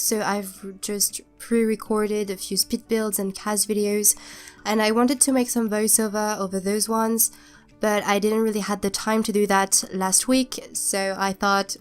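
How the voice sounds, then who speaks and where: A young woman with a cold and a stuffed-up voice, not a native English speaker, casually chatting in a rambling, hesitant voiceover. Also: medium pitch. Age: young adult. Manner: rambling, hesitant, many restarts. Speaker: YouTuber narrating a speed build.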